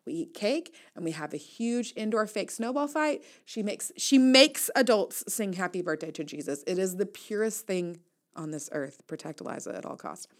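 A woman's voice: mid-range voice, animated, normal speed. An American woman in her thirties hosting a solo podcast in a casual, confiding way, with wry, playful and emphatic asides. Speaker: podcast host and newsletter writer.

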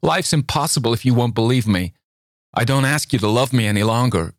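A man's voice: medium pitch, expressive, normal speed, no room sound, studio quality, pitched above the performer's own voice, natural voice. A man voicing an impulsive young woman who pleads and implores dramatically. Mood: desperate. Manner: breathless, imploring.

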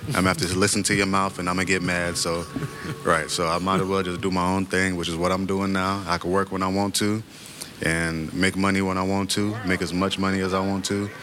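A man's voice: low-pitched, flat delivery, brisk, crowd noise. A man from Florida talking casually and confidently as an interview guest, plainspoken and a bit boastful. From Florida, United States. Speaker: guest, sea moss vendor. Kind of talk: casual sales pitch and chat. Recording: music playing behind the voice. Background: music.

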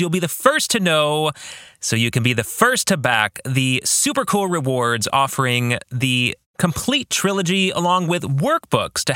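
Bright, very animated male podcast host. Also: high voice, no echo, clean studio sound. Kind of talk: scripted podcast monologue.

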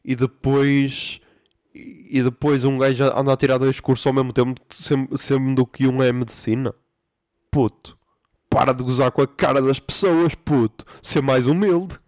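A man's voice: mid-range voice, fairly even intonation, measured pace, a little mellow, dry recording, clean studio sound. A young man with a European Portuguese accent hosting a casual solo podcast, ranting in a profane, slangy way. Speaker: podcast host.

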